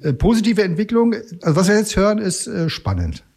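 A man speaking as an expert guest on a radio show, explaining casually and a little haltingly. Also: high-pitched, huge pitch swings, vocal fry, darkish tone, breathy edge, soft background music.

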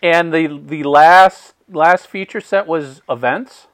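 A man's voice: fairly high pitch, takes it slowly, no room sound. A man hosting a podcast, eagerly chiming in with stumbling, repeated starts to his sentences. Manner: enthusiastic, repeats words when starting sentences. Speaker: podcast host. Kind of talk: casual podcast interview.

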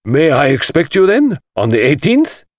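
Theatrical, swooping, sinister party host. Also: male voice, fairly high pitch, huge pitch swings, moderate pace, no echo, clean studio sound, pitched above the performer's own voice. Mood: amused, mocking. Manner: theatrical, hissing, drawling affectation. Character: flamboyant, mocking, sinister middle-aged male party host. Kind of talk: dramatised audiobook dialogue.

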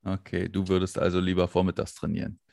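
A man's voice: low voice, completely flat delivery, quick pace, vocal fry, a little mellow, no room sound, studio quality. A man hosting a casual interview chat, joking and laughing. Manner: chatty, joking, frequent laughs and fillers. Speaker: podcast host.

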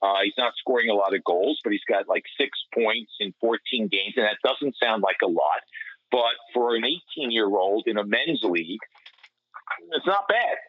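A man's voice: fairly low pitch, lively intonation, moderate pace, no room sound. A man giving conversational sports analysis over a remote line, explaining at length with small digressions, like a broadcaster on a panel. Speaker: hockey radio play-by-play announcer, podcast panelist. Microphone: remote call. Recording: dull lo-fi call-in line.

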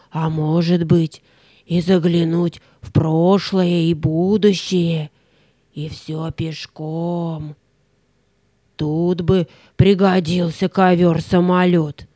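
A woman playing a mysterious, kindly old fairy who guides children in an audio play, speaking deliberately and theatrically. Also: lowish voice, dark tone, no echo.

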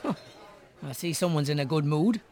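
An actor with an Irish accent playing a teasing but cautious pub landlord in a radio drama. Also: male voice, on the high side, lively intonation, moderate pace, a little mellow, some chatter behind, lightly acted. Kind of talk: naturalistic radio drama acting.

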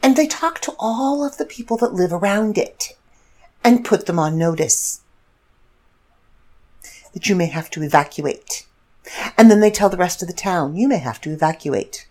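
A woman in her fifties chats alone as a podcast host, her voice stuffy and congested. She rambles and corrects herself as she goes, her low voice swinging up and down in pitch. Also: expressive, normal speed, long pauses, a little mellow. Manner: rambling, self-correcting storyteller. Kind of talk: casual solo chat.

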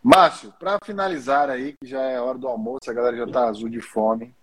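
A man from Maceió speaks with a Northeastern Brazilian accent, his voice a little husky. He chats casually with a guest and pokes fun at himself with an easy, joking delivery. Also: mid-range voice, fairly even intonation, fairly quick, fairly bright tone. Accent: Northeastern Brazilian (Alagoas). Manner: joking, self-deprecating. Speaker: interviewer and speaker. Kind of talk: casual conversation.

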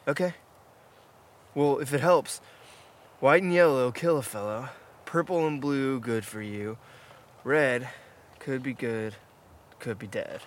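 An actor playing a practical, skeptical outdoorsman in a naturalistic audio drama, with a casual, understated, halting delivery. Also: mid-range voice, natural voice. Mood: low-key, helpful.

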